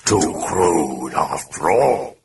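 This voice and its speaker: A male narrator voicing a malicious demon possessing a girl, speaking in a slow, sneering, cajoling way. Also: sits low, sing-song, gravelly, background noise, full character voice. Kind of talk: audiobook character voice.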